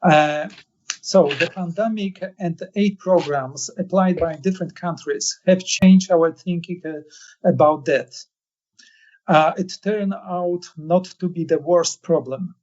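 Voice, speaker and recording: A male interviewer speaks very slowly, pausing on "uh" between phrases as he reads prepared questions. His voice sits fairly high, and the audio is dull and lo-fi. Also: darkish tone. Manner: halting, with many "uh" pauses.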